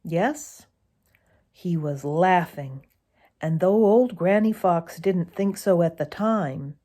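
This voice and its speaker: A woman narrating a children's story in a deliberate, dramatic way, as if to young listeners. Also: lowish voice, warm tone. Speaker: storybook reader.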